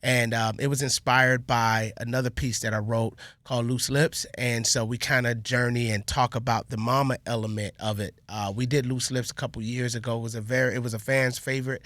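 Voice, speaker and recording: A man's voice: medium pitch, steady tone, a little fast, few pauses, fairly bright tone, no echo. A man talking casually and agreeably as an interview guest. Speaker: playwright guest.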